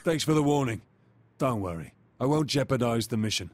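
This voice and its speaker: An actor voicing a confident robot soldier in a video game, reporting in a dry, clipped, wry way. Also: male voice, mid-range voice, animated, fairly quick, a little mellow, soft background music, close to an everyday voice. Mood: focused, confident.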